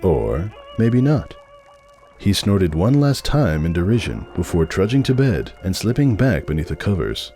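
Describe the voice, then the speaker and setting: A man's voice: low voice, sing-song, a little slow, dark tone, soft background music. A man reading a story aloud as a scripted dramatic narration.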